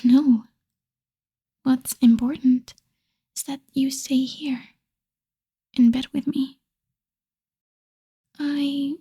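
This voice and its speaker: A woman playing an obsessive, lovestruck female vampire in a whispered ASMR roleplay, breathy, coaxing and possessive, seductive with quiet menace. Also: high-pitched.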